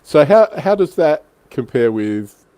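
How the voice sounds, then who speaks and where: A man's voice: on the high side, big pitch swings, unhurried, dark tone, a little hiss or hum. A man hosting a livestream in casual chat, with a joking, self-deprecating and mildly sweary manner. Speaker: livestream host.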